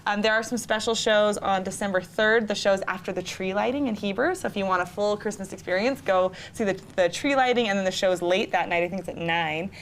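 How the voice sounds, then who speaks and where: A woman's voice: medium pitch, brisk, hardly any pauses. A woman giving earnest, emphatic interview answers as a community theater actor. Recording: noticeable background noise.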